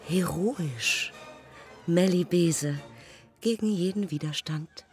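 A woman's voice: on the low side, slow, a little mellow, soft background music. An actress playing a proud yet self-doubting woman aviator in a poetic radio play, confessing in clipped, terse fragments. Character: heroic, self-doubting young woman aviator who took her own life.

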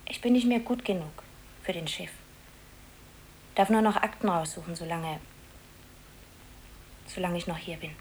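An actress playing a hurt, downcast office secretary who speaks in a subdued, halting way in a 1950s German radio play. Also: female voice, lowish voice, a little mellow, close to an everyday voice, poor audio. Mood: dejected. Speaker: radio drama actor. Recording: hissy 1950s radio recording.

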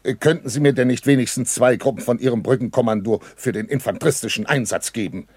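A worried army captain in a 1960s radio war drama. His voice is middle-pitched, earnest and formal under pressure, with a dry studio sound. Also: quick pace, a little mellow, breathy edge, no echo. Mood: gloomy, anxious. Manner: earnest, urgent, formal with superiors. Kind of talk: 1960s German radio play acting.

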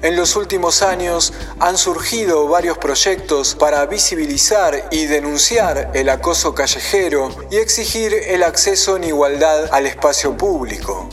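A man narrating a radio documentary, reading a script in a measured way. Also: a little high, a bit bright, faint music behind.

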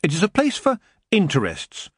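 Man putting on a stern young witch girl, higher voice, lively and firm. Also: normal speed, slightly rough, dry recording, studio quality, exaggerated voice. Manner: firm, earnest, commanding. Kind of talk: audiobook character voice.

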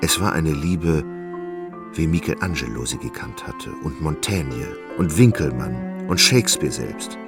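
Very deep, gravelly male narrator, close and dry. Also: lively intonation, measured pace, loud music behind the voice. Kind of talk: literary radio drama narration.

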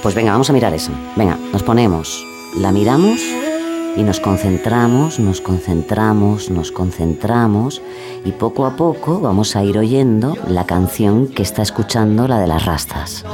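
A woman's voice: a bass voice, lively intonation, normal speed, over music. A woman with a Castilian accent playing a quirky, conspiracy-minded housemate in a colloquial radio comedy. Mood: playful instructing. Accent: Castilian Spanish. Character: quirky, bored conspiracy-minded woman inventing window-spying games.

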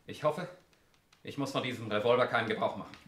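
An actor playing a cool, defiant murder suspect in a radio mystery, with a sardonic, challenging manner. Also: male voice, medium pitch, fast talker, fairly bright tone, close to an everyday voice. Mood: threatening. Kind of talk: 1960s German radio crime drama acting. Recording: noisy old radio play recording.

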